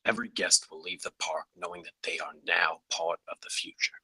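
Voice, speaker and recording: Man reading as a very deep, husky, sharp pitchman. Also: fairly quick, dry recording, full character voice, rough recording. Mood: grand, persuasive. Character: grand, persuasive male pitchman unveiling a park. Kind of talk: screenplay table read.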